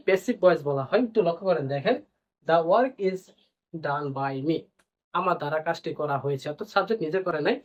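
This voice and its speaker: A man teaching a grammar lesson, repeating points and answering his own questions as he explains. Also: a little high. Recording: dull, lo-fi band-limited sound.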